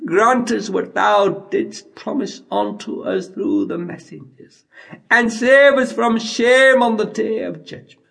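A man reading scripture as an audiobook narrator in a solemn, declamatory style, in measured phrases broken by frequent pauses. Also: high voice, very animated, gravelly, a bit bright.

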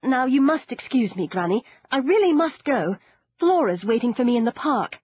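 A woman's voice: fairly high pitch, big pitch swings, normal speed, breathy edge, no room sound, highs cut off, voice pushed up. A woman voicing an impatient teenage girl who talks with a dry, sarcastic edge.

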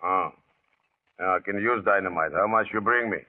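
A thin, narrowband old radio recording. An actor plays a stubborn, menacing guerrilla boss with a fairly low voice, speaking in terse, deliberate phrases as the one in command. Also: male voice, close to an everyday voice. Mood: suspicious. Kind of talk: 1940s radio acting.

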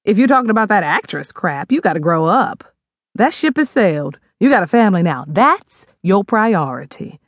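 A woman's voice: mid-range voice, sing-song, normal speed, dry recording. A woman voicing a blunt, scolding older mother, brassy and plain-spoken, in an audiobook. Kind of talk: audiobook character voice.

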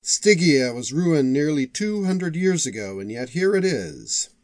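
A man's voice: fairly high pitch, animated, measured pace, no echo. Bookish male archivist, storybook fantasy, read by a male narrator. Earnest, florid, formal, with varied intonation. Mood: keen. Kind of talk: audiobook character voice.

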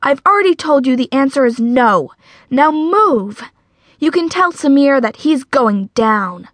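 A plucky, frightened young girl from a storybook, voiced by a woman. She pitches her voice high and stands up for herself with bold, emphatic defiance. The recording is dry. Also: expressive, measured pace, no room sound. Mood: bold, determined.

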